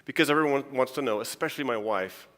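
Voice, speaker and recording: A man's voice: mid-range voice, quick pace, a bit bright. Man lecturing in a reverberant hall. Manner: chatty and joking, with frequent restarts. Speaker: scientist giving a conference talk. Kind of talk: informal conference lecture. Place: large church hall.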